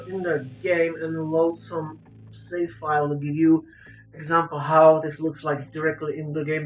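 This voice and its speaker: A woman who is not a native English speaker, giving an unscripted game review in a halting, hesitant way with broken grammar. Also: sits low. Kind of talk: unscripted review commentary over gameplay. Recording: roomy echo, distant microphone. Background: acoustic guitar music.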